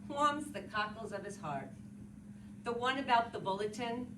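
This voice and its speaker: A woman giving a dramatic literary reading of a musing, wry, morbidly curious man at a funeral, in drawn-out short phrases. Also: fairly high pitch, expressive, natural voice. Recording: echoey, distant, very noisy room.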